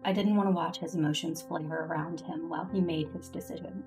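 An earnest Jedi Master played by a woman tells her own story in a space-fantasy audio drama. Her voice sits on the low side, devoted and sincere, with faint music behind it. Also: steady tone, quick pace, close to an everyday voice. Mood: tender, hopeful. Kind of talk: first-person audio drama narration and dialogue.

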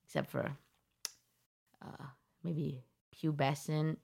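A woman's voice: low-pitched, level tone, slow, vocal fry, dark tone. A young woman co-hosting a podcast, chatting casually in a rambling, self-deprecating way. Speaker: podcast co-host. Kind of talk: casual chat.

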